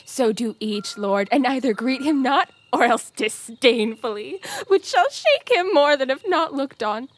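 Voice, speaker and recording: A woman's voice: sits high, big pitch swings, a little slow, hardly any pauses, dry recording. A young woman acting in a Shakespearean audio drama as a stately male general, formal and authoritative. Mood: brisk, disdainful. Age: young adult.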